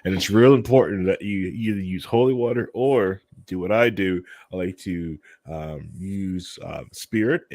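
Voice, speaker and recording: A man's voice: low voice, a little slow, hardly any pauses, a little mellow. A man co-hosting a podcast with casual joking banter, playful and mock-serious in manner. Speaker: podcast co-host.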